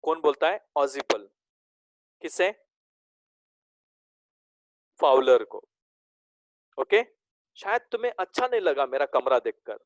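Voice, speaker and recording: A male teacher with a slightly high voice leads a recorded lesson at a very unhurried pace. He stretches out the English lines he reads and pauses often to ask "okay?". The sound is dry and close, with no room echo. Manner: slow, drawn-out reading of English lines, then explains in Hindi; checks in with frequent "okay?". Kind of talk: recorded class lesson explaining a text.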